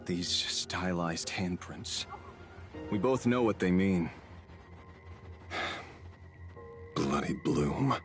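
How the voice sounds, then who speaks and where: An actor playing a brooding, suspicious investigator in a video game, talking in a terse, gruff, confrontational way. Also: male voice, low-pitched. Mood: grim.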